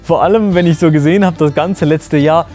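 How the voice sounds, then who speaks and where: A young man in his late twenties jokes and teases in casual slang, his voice sitting a little higher than most men's. Faint music plays underneath. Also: a little fast, warm tone. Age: 20s. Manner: joking, teasing, slangy. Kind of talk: casual vlog talk to camera.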